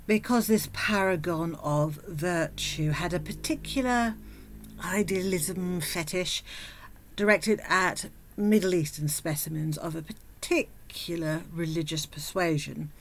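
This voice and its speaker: A woman narrating a scripted comic story in a sardonic, theatrical manner, with mock-grand wording and drawn-out dramatic pauses. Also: fairly low pitch, fairly bright tone, a little hiss or hum. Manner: mock-grand wordy phrasing. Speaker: storyteller host.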